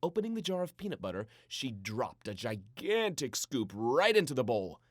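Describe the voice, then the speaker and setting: A man reading a scripted children's story in a playful, theatrical way with dramatic pauses. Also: mid-range voice, sing-song, no echo, studio quality. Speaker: storybook narrator.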